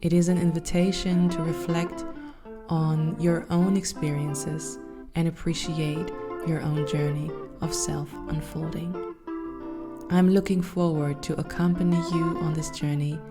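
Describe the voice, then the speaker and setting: A woman in her thirties with a German accent, hosting her own podcast and speaking softly and thoughtfully with some hesitant fillers. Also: sits low, little pitch movement, a little slow, music in the background. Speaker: podcast host. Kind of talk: reflective solo podcast talk.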